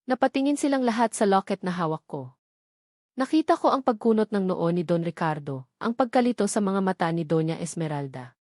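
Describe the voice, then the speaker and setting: A woman's voice: mid-range voice, animated, a little fast, a little mellow, no room sound, clean studio sound. A woman reading a dramatic romance story as a scripted narration.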